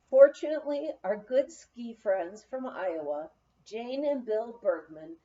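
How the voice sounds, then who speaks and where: A woman reading a memoir aloud as an audiobook, with an expressive, deliberate storytelling delivery. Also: a little high, lo-fi sound. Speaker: audiobook reader. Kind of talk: scripted memoir narration, read aloud.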